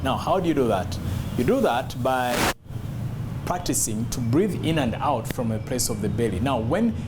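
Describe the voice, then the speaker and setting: A man coaches public speaking in an instructional talk. His pitch moves up and down in a lively way, and he stresses and repeats key phrases as he demonstrates. There is clear noise and hum behind his voice. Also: normal speed.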